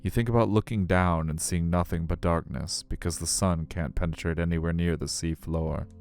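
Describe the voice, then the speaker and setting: A man's voice: low voice, fairly even intonation, normal speed, vocal fry, darkish tone, faint music behind. A middle-aged man live streaming a visual novel, switching between casual chat and narration. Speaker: game streamer.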